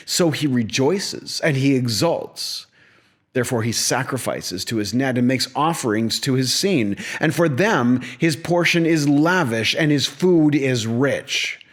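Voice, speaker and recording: A man of middling pitch reads scripture aloud at a slow pace. His delivery is scripted and solemn, with deliberate phrasing and measured pauses, as for an audio Bible.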